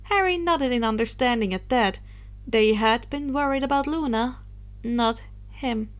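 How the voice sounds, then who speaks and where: A woman's voice: on the high side, a little slow. A woman reading fiction aloud as an amateur narrator, sometimes stumbling over a word and correcting herself. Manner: stumbles and corrects misread words. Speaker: amateur audiobook reader. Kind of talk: scripted story narration.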